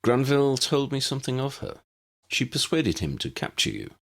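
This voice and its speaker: A man voicing a weary old wizard in an audiobook story, grave and courteous, with formal, measured phrasing. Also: medium pitch, wildly swooping pitch, gravelly, fairly bright tone, pitched above the performer's own voice, heavily acted. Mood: solemn, explaining. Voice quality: cracked voice.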